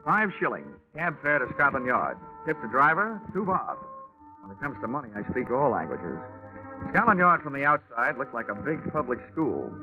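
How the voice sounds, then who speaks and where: A man playing a wisecracking, sleepy insurance investigator in a deadpan 1940s radio detective drama style. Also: mid-range voice, moderate pace, highs cut off. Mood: wry narration. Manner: wry, deadpan. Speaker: narrator and lead detective character. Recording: band-limited vintage 1940s radio recording, music.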